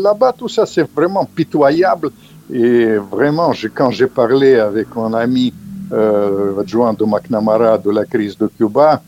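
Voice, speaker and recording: An older man talks in an emphatic, halting way, often restarting his sentences and jumping up in pitch when he stresses a point. The sound is dry and a little dull, with no room around it. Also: warm tone, no room sound. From Ukrainian background. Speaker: author guest. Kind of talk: interview, political commentary.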